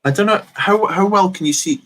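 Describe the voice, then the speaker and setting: A man's voice: high voice, brisk, fairly bright tone. A man hosting a casual livestream, rambling and answering chat comments while he builds. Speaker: livestream host.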